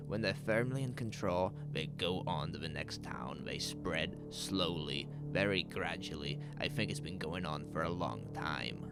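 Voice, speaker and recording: A man playing a frightened, agitated survivor in a horror audio drama, speaking with urgent emphasis. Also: low-pitched. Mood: grave, conspiratorial. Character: frightened, agitated man who escaped a takeover. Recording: music behind the voice.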